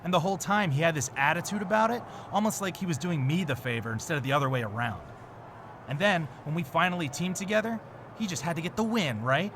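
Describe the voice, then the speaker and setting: A man's voice: on the high side, lively intonation, fast, lightly acted. A man playing a bitter, self-righteous wrestler giving a promo in a backstage interview for a video game. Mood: resentful. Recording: clear ambient background noise.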